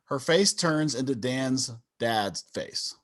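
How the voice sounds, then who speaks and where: A man narrates a screenplay's stage directions. His voice is medium in pitch with lively, varied intonation, in a scripted reading style. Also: takes it slowly. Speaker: narrator of a table read. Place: indoors, on a video call.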